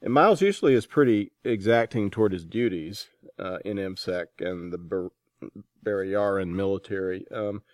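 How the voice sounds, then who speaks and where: A man's voice: deep voice, moderate pace, darkish tone, dry recording, clean studio sound. A man hosting a conversational book interview, feeling his way hesitantly into his questions. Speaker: interviewer, host.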